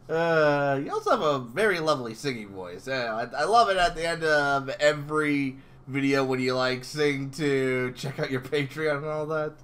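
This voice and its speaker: A man with a fairly high, forceful voice chats casually as an interviewer. His pitch swings widely, and he talks in an excitable, exclamatory way with emphatic phrasing. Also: unhurried. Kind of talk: casual fan interview chat.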